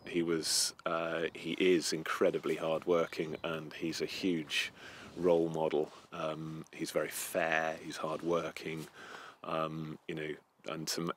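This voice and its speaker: A man's voice: low-pitched, a bit bright, slight background noise. A man from North Wales answering interview questions outdoors, hesitant, with many ums and restarts. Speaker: event rider interviewee. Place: outdoor terrace by a house.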